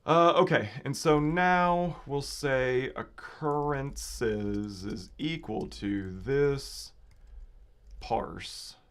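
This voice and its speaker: A man with a slightly raspy voice giving casual live coding commentary, thinking aloud informally as a developer hosting a livestream. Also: lowish voice, a bit bright. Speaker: livestream host and software developer. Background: computer keyboard typing and clicking.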